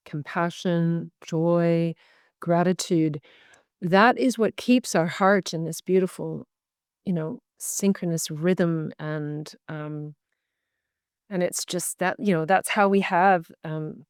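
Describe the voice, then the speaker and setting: A woman's voice: on the low side, no echo, clean studio sound. A woman with a Canadian accent talking earnestly and reflectively as a guest on a video call interview. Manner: earnest, reflective, speaks in long searching phrases. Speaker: guest, medical intuitive. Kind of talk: casual interview talk.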